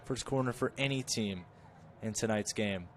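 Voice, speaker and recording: A man giving chatty live play-by-play of a college soccer match, correcting himself and rising in pitch and volume when the play gets dangerous. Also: normal speed, a little hiss or hum. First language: English. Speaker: play-by-play commentator.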